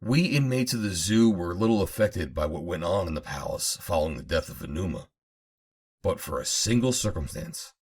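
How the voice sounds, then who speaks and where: A man reading an adventure novel aloud as an audiobook narrator, in a measured, formal storytelling manner. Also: deep voice, animated, a little mellow, husky. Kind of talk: dramatic scripted narration of an old adventure novel.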